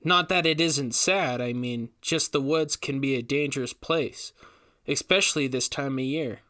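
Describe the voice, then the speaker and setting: A man's voice: mid-range voice, moderate pace, pushed and bright, close to an everyday voice. A man voicing a practical, skeptical female investigator in a story reading, matter-of-fact and questioning.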